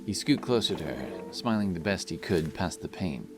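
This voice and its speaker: Man, low voice, solemn measured storyteller, scripted fantasy audiobook, prominent music behind. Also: animated. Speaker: narrator. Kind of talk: dramatic scripted fantasy narration.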